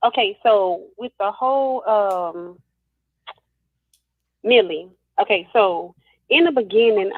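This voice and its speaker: A woman's voice: fairly low pitch, no room sound. A woman calling in to a talk show, speaking hesitantly with many fillers. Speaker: caller. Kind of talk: phone call-in. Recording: phone line.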